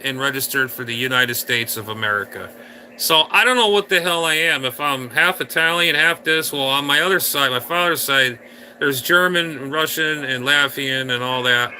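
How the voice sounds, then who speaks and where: A man's voice: a little high, pushed and bright, a little hiss or hum, rough recording. A man hosting a casual live stream, rambling playfully and talking straight to his viewers from a room indoors.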